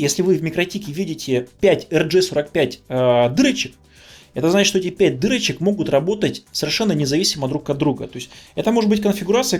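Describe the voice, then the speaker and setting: A man's voice: fairly high pitch, darkish tone. A man explaining technical matters in an enthusiastic podcast talk. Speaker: podcast speaker on networking.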